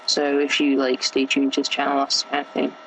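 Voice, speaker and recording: Teen boy, sore gravelly throat, flat rambling game commentary, faint hiss behind. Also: medium pitch, a little fast, low-quality recording. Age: teens. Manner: rambling, many fillers and restarts. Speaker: gameplay commentator. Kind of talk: casual gameplay commentary.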